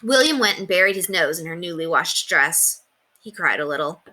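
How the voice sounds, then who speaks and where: A woman narrating a children's book aloud in an expressive read-aloud style. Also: lowish voice, moderate pace. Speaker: storyteller reading aloud to children.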